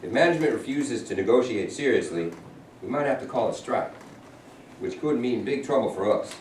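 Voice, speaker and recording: A man's voice: medium pitch, lively intonation, a little fast, a little mellow, lightly acted. A man reading a pragmatic, plain-spoken Southern union president in a staged play. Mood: reasonable, concerned. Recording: echoey room, distant mic, faint noise.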